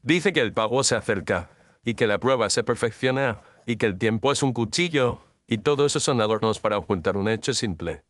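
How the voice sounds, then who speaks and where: Guy doing a cool sharp detective, measured and analytical, lowish voice, dry close sound. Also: expressive, no room sound, close to an everyday voice. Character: calm, incisive male detective, precise reasoner. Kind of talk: audio drama acting.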